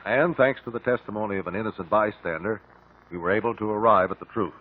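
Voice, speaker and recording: An actor playing a calm, precise American investigator in a radio drama, speaking formally and in measured phrases. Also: male voice, fairly low pitch, a little hiss or hum, natural voice. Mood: explanatory. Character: calm, precise male investigator piecing out truth. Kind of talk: 1950s radio drama acting. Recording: muffled 1950 radio recording.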